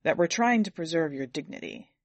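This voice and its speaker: A woman's voice: low voice, expressive, a little fast, dry recording, pitched below the performer's own voice. A woman voicing an embarrassed, formal male translator for a film crew in a story. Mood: uneasy, polite.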